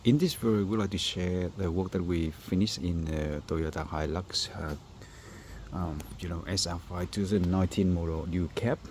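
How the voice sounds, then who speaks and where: A man with a deep, mellow voice talks through a workshop job for a video. His English is non-native and comes haltingly, in an informal explaining style. Light noise sits behind him. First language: not English. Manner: halting, hesitant phrasing. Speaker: car installer presenting finished work.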